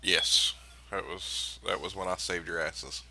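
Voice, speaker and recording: A man's voice: sits low, fairly even intonation, measured pace, sharp tone. A man chatting casually as the game master of a tabletop role-playing session. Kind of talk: casual chat.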